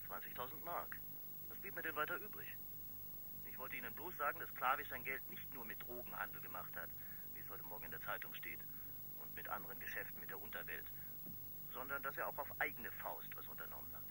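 Sharp-voiced, formal pharmacist. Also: male voice, on the low side, animated, slight background noise, low-quality recording. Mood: indignant. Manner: formal, deliberate, aggrieved. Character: indignant, formal male pharmacist with a doctorate. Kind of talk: German radio crime drama acting.

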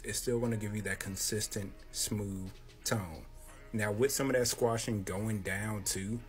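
Laid-back man with a deep boxy voice. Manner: laid-back, slangy, talks close to the mic. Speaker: content creator explaining his audio setup. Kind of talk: casual tutorial. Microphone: handheld close-up microphone.

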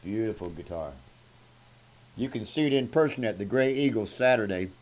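A man, talking casually and unhurriedly in short folksy fragments as he pitches something for auction. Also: animated, moderate pace, slight background noise. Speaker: musician presenting a guitar for a charity auction. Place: small indoor room at home. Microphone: microphone on a stand at guitar height. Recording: acoustic guitar playing around the voice. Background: acoustic guitar.

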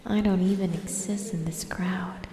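A woman voicing a possessive, lovestruck teenage schoolgirl in an ASMR roleplay, breathy and often dropping into whispers. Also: lowish voice, fairly even intonation, unhurried, crowd noise. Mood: bitter. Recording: echoey, long reverb, music behind.

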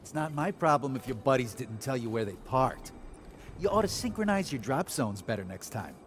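A man's voice: medium pitch, sing-song, moderate pace, a little hiss or hum, natural voice. An actor playing a cocky, grumbling male soldier in a video game, with a sarcastic manner. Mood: annoyed.

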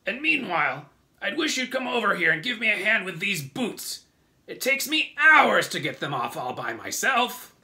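A man voicing a giant male centipede in a storybook, brash and jolly, with a loud, hearty delivery. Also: high voice, sing-song, normal speed, voice pushed up, heavily acted. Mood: hearty, cheery.

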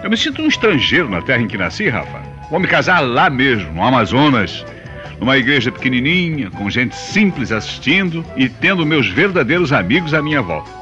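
An actor playing a jovial, folksy rubber-tapper bridegroom in a radio soap, with a Brazilian accent. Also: male voice, mid-range voice, very animated, fairly quick, pushed and bright. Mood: warm, earnest. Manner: exclamatory, folksy. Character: jovial, hearty rubber-tapper bridegroom, plain country man. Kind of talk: radio soap opera acting. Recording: dull radio drama recording, faint music.

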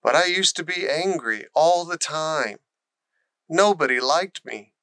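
A man's voice: fairly high pitch, expressive, a little slow, fairly bright tone, no echo, rough recording. A man voicing an earnest, curious young girl with a questioning manner in a storybook. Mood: confiding.

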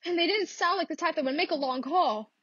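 High-pitched, frantic, demanding wife played by a woman. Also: brisk, fairly bright tone, no echo. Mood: anxious, urgent. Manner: insistent, indignant, easily exasperated. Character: nervous, demanding invalid married woman, imperious and anxious. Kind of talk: classic radio thriller acting. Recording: dull, lo-fi dry audio.

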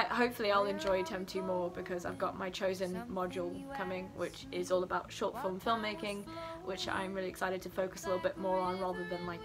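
A young adult woman chatting casually to camera as a student vlogger in a living room. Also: medium pitch. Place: furnished living room indoors.

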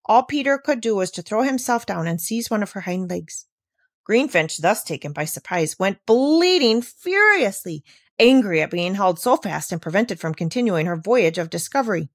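A woman reading a classic children's novel aloud in a steady, clear storytelling manner. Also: mid-range voice, dry recording, clean studio sound. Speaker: reader. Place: at a table with a book.

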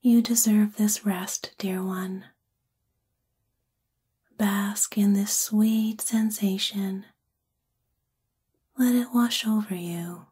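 A woman with a soft, breathy, whispery voice gently and soothingly guides a sleep meditation in an ASMR-like style. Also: mid-range voice, steady tone. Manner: gentle, soothing, unhurried phrasing.

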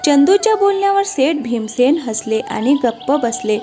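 A woman's voice: sits high, lively intonation, moderate pace, mellow. A woman reading scripted narration for a cartoon moral story. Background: light background music.

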